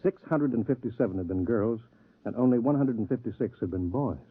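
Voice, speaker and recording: A man playing a businesslike government agency chief in a 1950s radio drama, brisk and authoritative. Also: mid-range voice, expressive, breathy edge, no echo. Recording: thin phone-like old radio recording.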